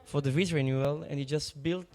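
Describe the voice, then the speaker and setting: A young man from Israel doing national service, answering interview questions in a soft, thoughtful, hesitant way. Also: on the high side, fairly even intonation, quick pace, faint music behind. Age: young adult. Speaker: guest, aid worker.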